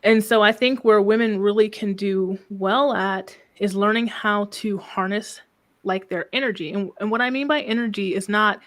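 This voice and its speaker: A soft-spoken woman business coach talking calmly in a casual interview, often ending thoughts with a questioning "right?". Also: mid-range voice, normal speed. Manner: calm, reflective, frequent "right?" tags.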